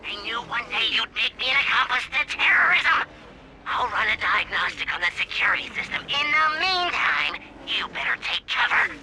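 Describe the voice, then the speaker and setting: A man voicing a nervous, indignant alien in a video game, flustered and hurried but grudgingly helpful. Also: very high voice, very animated, pushed and bright, slight background noise, full character voice, rough recording.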